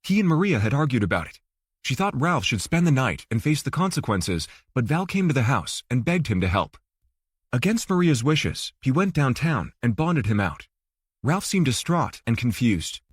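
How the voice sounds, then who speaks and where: A man's voice: mid-range voice, big pitch swings, normal speed, husky, no echo, clean studio sound. A man reading scripted fiction as an audiobook narrator.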